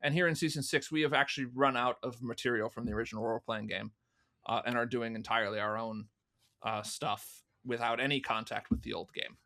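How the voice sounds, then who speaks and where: A man's voice: mid-range voice, steady tone, fairly quick, sharp tone. A man speaking as an interview guest, explaining things in detail and with enthusiasm in a conversational way. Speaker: guest, fan audio drama producer.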